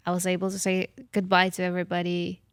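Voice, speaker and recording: A woman with a Ukrainian accent shares a personal story in conversation. Her voice is smooth and clear, recorded dry. She talks casually, pausing and repeating herself as she looks for words. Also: on the low side, completely flat delivery, dry recording. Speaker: podcast host telling own story.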